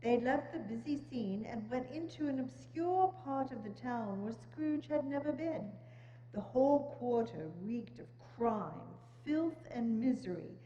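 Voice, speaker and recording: Female narrator, warm dark tone, scripted dramatic reading; muffled, noisy live hall recording. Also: a little high, lively intonation, measured pace. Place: large church hall, indoors. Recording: muffled echoey church hall, humming noise.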